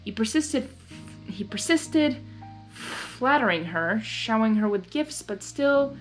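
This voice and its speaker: A woman with a fairly high voice reads fan fiction expressively. Her pitch moves around a lot as she shifts between narration and casual commentary. Faint music plays underneath. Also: expressive, takes it slowly, rough recording. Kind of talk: expressive story narration with casual spoken reactions.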